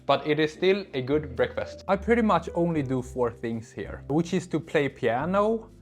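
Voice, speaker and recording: A man's voice: fairly high pitch, animated, moderate pace. A young man from Sweden narrating a casual travel vlog in a plain, matter-of-fact way with dry humor. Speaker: vlogger.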